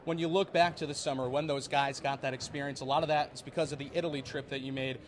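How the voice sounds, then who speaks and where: A man's voice: mid-range voice, fairly even intonation, fast talker, slight background noise. A young man working as a sports reporter, speaking in a polished broadcast delivery for interviews and narrated features. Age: young adult.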